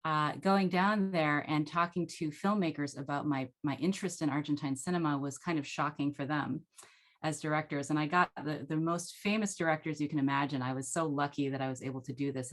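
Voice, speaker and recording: A middle-aged American woman telling her story as a panelist on a video call from home, animated and chatty. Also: sits low. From United States. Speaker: panelist, former Fulbright scholar. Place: home room, indoors.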